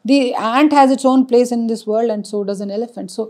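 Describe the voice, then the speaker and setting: Woman, puppet show producer, earnest explainer, unhurried, code-switching. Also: on the high side, dark tone. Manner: earnest, explanatory, switches between Telugu and English mid-sentence. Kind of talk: interview answers mixing Telugu and English.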